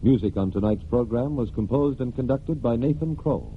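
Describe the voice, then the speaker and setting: A man's voice: medium pitch, moderate pace, narrow, tinny audio. A man announcing from a script in formal 1940s radio style. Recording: thin, faintly noisy 1940s radio recording.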